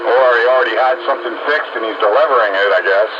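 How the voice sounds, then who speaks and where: A man's voice: medium pitch, fast talker. A man chatting casually as a radio operator. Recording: noisy, muffled radio transmission.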